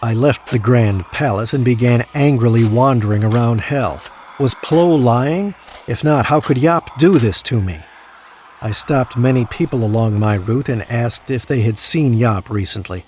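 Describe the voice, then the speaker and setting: A man's voice: medium pitch, darkish tone, lightly acted. An actor playing an earnest, guarded dead man in Hell, in audio drama dialogue and first-person narration. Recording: clear background noise.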